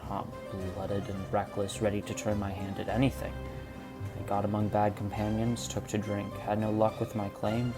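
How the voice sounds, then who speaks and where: Dying old man played by a man, bitter, remorseful ex-robber, grave low voice, audio drama, faint music. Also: close to an everyday voice. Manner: grave, confessional. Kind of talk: amateur audio drama acting.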